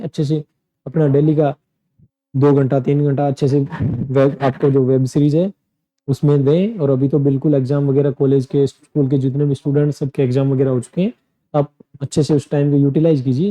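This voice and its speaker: Man, coaching teacher, casual lesson, very dark mellow tone, slightly raised pitch, repeats himself. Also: highs cut off. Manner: chatty, repeats points, loose restarts. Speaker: PTE and IELTS coaching teacher. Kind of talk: casual spoken lesson to students. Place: indoor teaching room.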